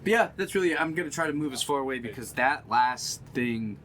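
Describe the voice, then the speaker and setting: A man's voice: mid-range voice, lively intonation, a little fast, fairly bright tone, a little hiss or hum. A man talking casually as a player in a tabletop roleplaying game. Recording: music playing behind. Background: music.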